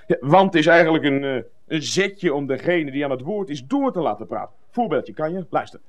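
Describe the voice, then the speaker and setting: An actor in a radio comedy playing a hearty, overbearing gym-teacher buddy with a jovial, bossy way of talking. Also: male voice, on the high side, big pitch swings, fairly quick. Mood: smug explaining. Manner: jovial, bossy, loud exhortations. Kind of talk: comedic radio drama acting.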